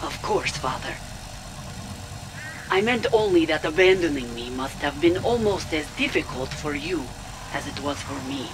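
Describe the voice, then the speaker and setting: An actress playing a proud, fanatical military villainess and devoted daughter in a game audio log, speaking with deliberate, theatrical intensity. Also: female voice, low-pitched, animated, noisy background. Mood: wounded, restrained. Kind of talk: dramatic video game audio log acting.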